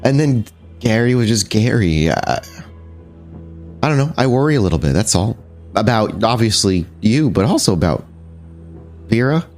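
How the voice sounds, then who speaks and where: A man plays a wary female vampire confidante in a tabletop game, lifting his very low voice. She talks in halting, earnest bursts, restarting often. Faint music runs underneath. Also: very animated, a little mellow. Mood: worried, candid. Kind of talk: in-character roleplay dialogue.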